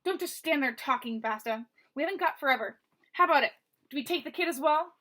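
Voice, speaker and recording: Woman putting on a nasal thug, impatient, pinched, loud, bright. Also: sits high, lively intonation, fast talker, pitched above the performer's own voice.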